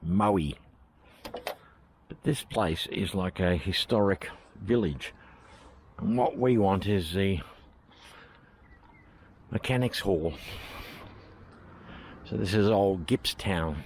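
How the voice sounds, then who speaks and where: Deep-voiced Australian man touring. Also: takes it slowly, pauses often, darkish tone, a little hiss or hum. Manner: chatty, thinking aloud with fillers. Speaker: travel vlogger. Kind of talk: casual walking tour commentary. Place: outdoors at a historic village.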